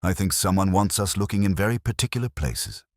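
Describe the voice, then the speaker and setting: A man voicing a patient, dryly witty police inspector in a mystery story, understated and deliberate. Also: low voice, animated, no room sound, clean studio sound, pitched above the performer's own voice. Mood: grave, musing.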